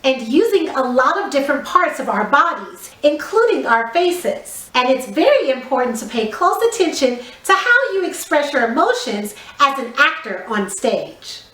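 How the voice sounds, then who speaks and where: A woman teaching a theatre lesson to young children, giving instructions in an expressive, clearly enunciated way. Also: high voice. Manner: slow, clearly enunciated, exaggeratedly expressive delivery for small children. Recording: echoey room.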